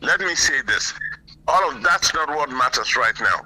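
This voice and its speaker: A man giving earnest, deliberate political commentary on a call, repeating phrases for emphasis. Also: a little high, animated, sharp tone, a little hiss or hum, low-quality recording. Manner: halting, deliberate, emphatic repetition. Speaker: guest caller, political commentator. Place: remote call.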